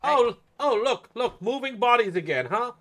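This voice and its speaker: A man's voice: very high-pitched, animated, moderate pace. A man bantering casually in a playful, brash, sweary way while role-playing in a game. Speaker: game streamer role-playing as an emergency responder. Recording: sirens and game noise behind.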